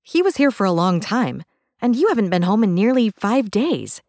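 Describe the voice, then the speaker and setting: A woman's voice: mid-range voice, very animated, moderate pace, a little mellow, no echo, clean studio sound. A woman voicing a stubborn, perceptive young fantasy sister, earnest and challenging, in an audiobook. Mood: probing.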